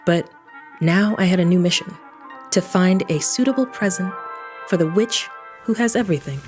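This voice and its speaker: A woman's voice: lowish voice, moderate pace, lightly acted. A woman playing a wry, knowing adventurer who narrates her own story in an audio drama with flippant asides. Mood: wry, purposeful. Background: music.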